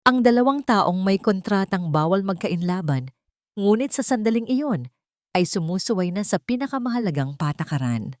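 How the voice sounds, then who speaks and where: A woman with a Filipino accent narrates a scripted dramatic love story in a measured, expressive way. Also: medium pitch, no echo, studio quality. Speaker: narrator of romance stories.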